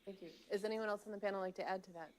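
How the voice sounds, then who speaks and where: A woman's voice: mid-range voice, fairly even intonation, rapid-fire, a little mellow. A woman moderating a symposium panel, speaking informally with fillers and light humor. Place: symposium hall, indoors.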